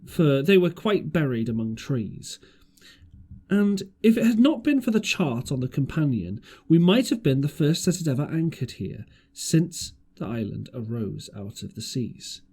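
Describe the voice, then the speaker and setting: A man's voice: a little high, big pitch swings, normal speed, a little mellow, breathy edge. A man reading an adventure novel aloud as an amateur audiobook narrator, occasionally stumbling over words.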